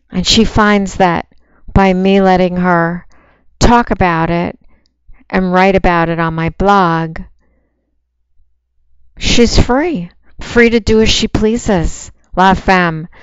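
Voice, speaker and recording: A woman with a soft voice giving a slow, unhurried, commanding promotional monologue as a phone sex operator. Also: lowish voice, smooth, dry recording, lo-fi sound.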